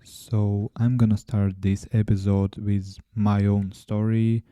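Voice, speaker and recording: Slow, mellow Ukrainian-accented man. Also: lowish voice, flat delivery, clear, resonant tone, dry recording. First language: Ukrainian and Russian. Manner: halting, many pauses and restarts, non-native grammar. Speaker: podcast host. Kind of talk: casual solo podcast talk.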